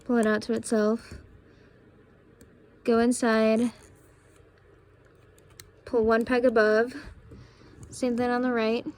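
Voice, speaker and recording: A young woman with a tired, raspy voice, casually talking through a craft tutorial step by step while she works. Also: fairly high pitch, slow pace, long pauses. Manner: relaxed, talks while working with hands.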